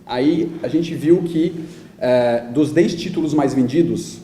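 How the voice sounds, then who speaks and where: A man's voice: fairly high pitch, slow, dark tone. A man in his thirties with a French accent, speaking Portuguese as a second language in an informal, explanatory interview talk. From France. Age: 30s. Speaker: guest speaker, digital media company executive. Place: indoor event talk before an audience. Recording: echoey room with faint background noise.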